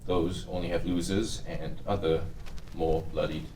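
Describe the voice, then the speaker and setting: A deep-voiced, humorless patrician of about forty, acted by a man in a drama table read. He speaks formally and deliberately, heard from across an echoey, noisy room. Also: little pitch movement, warm tone. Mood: measured, cool. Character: Joe Kennedy Senior, stiff humorless patrician tycoon in his forties. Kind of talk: table-read acting of a TV script. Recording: roomy, distant mic with noise.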